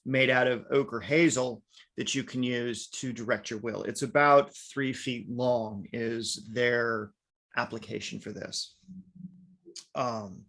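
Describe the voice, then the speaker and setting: A man's voice: medium pitch, steady tone, takes it slowly, fairly bright tone. A man teaching an online workshop class in a chatty lecturing way, often adding "right?". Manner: chatty, peppered with "right?" and "now".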